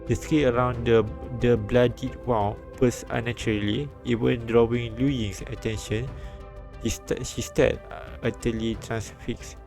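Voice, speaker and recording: A man reading a visual novel aloud on a live stream, with halting restarts and bits of commentary. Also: mid-range voice, level tone, creaky, darkish tone. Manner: halting, repeats and restarts lines. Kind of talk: live read-aloud translation and narration with commentary. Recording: background music behind the voice.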